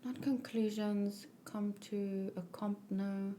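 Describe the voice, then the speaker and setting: A woman's voice: medium pitch, steady tone, slow, mellow, a little hiss or hum. Casual woman thinking aloud. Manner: thinking aloud, searching for words. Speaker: host of a manga read-along. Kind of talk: casual reading-along commentary.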